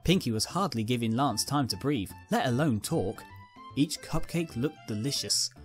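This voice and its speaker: A man's voice: medium pitch, animated, moderate pace, soft background music. A man narrating a scripted fan fiction story.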